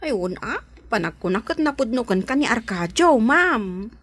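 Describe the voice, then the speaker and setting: An actress playing an excitable, fretful household helper with a husky voice and Ilocano accent in a radio drama. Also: female voice, a little high, moderate pace, exaggerated voice. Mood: concerned. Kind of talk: Filipino radio drama acting.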